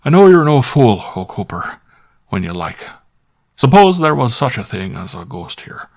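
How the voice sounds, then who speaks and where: A man voicing a middle-aged country squire who speaks in loud, pleading rustic dialect. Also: medium pitch, normal speed, vocal fry, pitched above the performer's own voice, natural voice. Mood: gruff coaxing.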